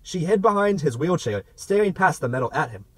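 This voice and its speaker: Animated, higher-pitched man reading an audiobook. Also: brisk, dry recording. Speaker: amateur audiobook reader. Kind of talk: audiobook narration of a literary novel.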